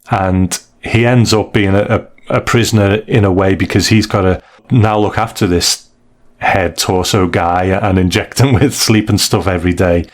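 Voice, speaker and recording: A man hosting a casual review discussion, retelling and explaining stories in a conversational, hedging way. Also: on the low side. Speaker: podcast host.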